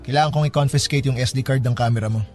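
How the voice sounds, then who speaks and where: A man's voice: medium pitch, fairly even intonation, rapid-fire, a little mellow, faint music behind, lightly acted. A man with a Filipino accent acting a haughty, curt young law student with formal speech in a radio drama. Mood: stern, curt. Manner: curt, formal, code-switching.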